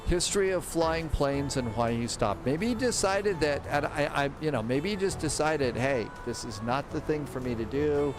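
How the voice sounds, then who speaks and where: A man's voice: on the high side, normal speed, hardly any pauses. A man hosting a live flight-sim stream, reading viewer comments and rambling in a casual, thinking-aloud way. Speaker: livestream host. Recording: clear background music.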